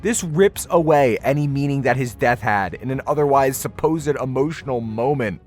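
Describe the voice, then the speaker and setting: A man's voice: mid-range voice, lively intonation, moderate pace. A man giving a casual, opinionated video essay commentary in an informal, sarcastic manner, with some casual swearing. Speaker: video reviewer and host. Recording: music behind the voice. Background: background music.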